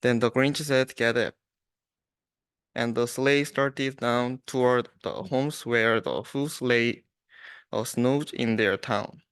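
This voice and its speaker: A young adult man, a student, reading a rhyming storybook aloud with halting restarts. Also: medium pitch, steady tone, fairly bright tone, smooth, no room sound.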